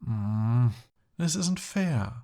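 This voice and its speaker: A man's voice: mid-range voice, lively intonation, drawn-out words, gravelly, dark tone, heavily acted. A man playing a pompous young human prince in an ASMR roleplay, speaking formally but flustered and pleading. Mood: indignant.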